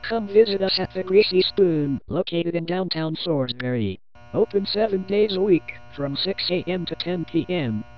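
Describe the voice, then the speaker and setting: A man's voice: high-pitched, expressive, moderate pace, faint music behind. A man reading a scripted local television commercial as its announcer. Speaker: advert announcer. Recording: dull lo-fi old TV advert.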